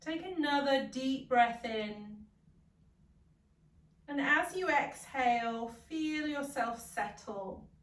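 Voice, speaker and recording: A woman leading a guided meditation as a yoga nidra guide, calm, soothing and gentle, leaving long pauses. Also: high voice. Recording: roomy echo, distant from the mic.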